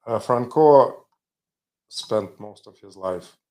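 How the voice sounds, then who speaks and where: A man's voice: mid-range voice, expressive, slow pace, a little mellow. A man hosting a live show, rambling and chatty with joking asides. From Ukraine. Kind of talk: casual live-stream demo.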